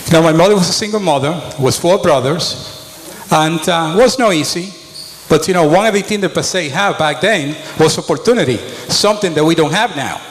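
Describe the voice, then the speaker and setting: A man's voice: fairly high pitch, brisk, slight background noise. Plainspoken Dominican-born man in a hall. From Dominican Republic. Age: middle-aged. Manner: plainspoken, conversational storytelling. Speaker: mayoral candidate. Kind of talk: debate opening statement. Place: school auditorium. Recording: hall with long reverb.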